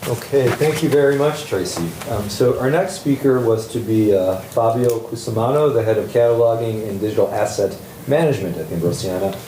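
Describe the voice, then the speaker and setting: Male moderator, mellow voice, plain intros; far and roomy recording. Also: medium pitch, a little fast, few pauses, a little hiss or hum. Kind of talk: introducing speakers. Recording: echoey hall from far off.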